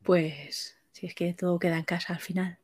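A woman's voice: fairly low pitch, fairly even intonation, moderate pace, darkish tone, husky. Casual woman hosting an interview. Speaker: host reading audience questions. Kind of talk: casual interview, reading out questions.

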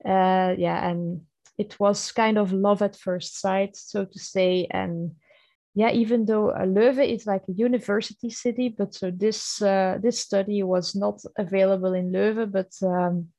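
A young woman from Belgium with a Flemish accent, Dutch being her first language, speaks to her podcast listeners. She talks in a chatty, earnest way, full of little fillers and restarts. Her voice sits at a middle pitch, and the recording is dry and close. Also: steady tone, measured pace, warm tone, no room sound. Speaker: podcast host. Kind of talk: casual solo podcast monologue.

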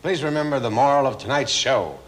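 A man's voice: medium pitch, expressive, fairly quick. A man hosting a show, delivering a comedic variety-show sign-off. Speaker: show host.

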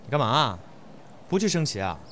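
A man's voice: medium pitch, very animated, a little fast, pushed and bright. A man acting a curt, sullen schoolboy in a modern audio drama, terse and dismissive. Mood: irritated. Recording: crowd chatter, faint noise.

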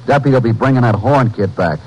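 An actor playing a surly, bullying jail inmate with an American accent in a 1950s radio crime drama, gruff and slangy. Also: male voice, mid-range voice, fast talker, a little mellow, dull audio, lightly acted. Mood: commanding, conspiring. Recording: old radio broadcast recording.

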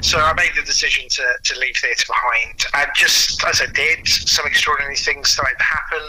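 A man's voice: medium pitch, steady tone, moderate pace, bright, forceful tone, slight background noise, poor audio. A middle-aged British man chatting casually and self-deprecatingly as an interview guest, telling anecdotes about his work. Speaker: interview guest, audio drama producer and writer.

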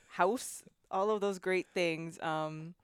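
A woman co-hosts a podcast in a slightly low, smooth and resonant voice. She talks in an easygoing way and giggles often. The sound is dry and close. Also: extremely slow, no echo. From Canada. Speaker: podcast co-host. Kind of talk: casual podcast chat.

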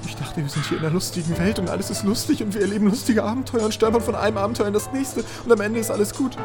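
A man playing a childish professor from a comic fantasy audio play. He babbles quickly in a raised, whiny voice, naive and talkative, over prominent background music. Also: high voice, natural voice. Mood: whiny, distressed. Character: naive, whiny, chatty male professor adventurer. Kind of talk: comedic fantasy audio drama acting.

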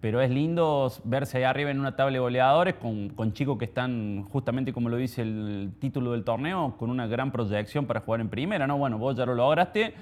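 An Argentine man hosts a casual sports interview in a medium-pitched voice. He builds long, conversational questions and encourages his guest as he goes. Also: brisk, hardly any pauses.

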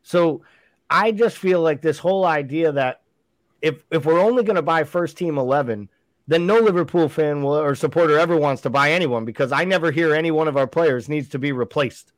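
A man's voice: fairly high pitch, a little fast, no room sound. A man co-hosting a casual sports chat, arguing his points emphatically and firing off rhetorical questions. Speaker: podcast co-host.

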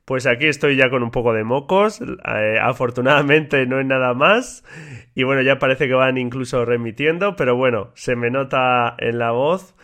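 A man with an average pitch hosts his own podcast alone, nasal congestion lending his voice a slightly stuffy, thick edge. He talks in a loose, friendly, conversational way, full of fillers and small restarts. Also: moderate pace. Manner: relaxed, chatty, many fillers and restarts. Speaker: podcast host. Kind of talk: casual solo podcast talk.

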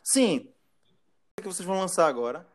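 Man, podcast host, slightly high voice, loose laughing chat. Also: very animated, brisk. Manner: laughs often, trails off and restarts. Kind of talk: casual interview chat.

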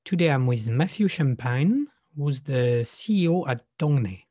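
A man's voice: medium pitch, lively intonation, a little slow, mellow, no echo, clean studio sound. A man hosting a podcast interview, asking questions with hesitant repeated words. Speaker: podcast host.

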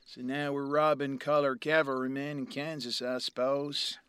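An actor playing a brash, slippery Confederate veteran turned robber, with folksy, excitable, rambling talk in a Western audio drama. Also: male voice, mid-range voice, moderate pace, clear, resonant tone, natural voice. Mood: cocky.

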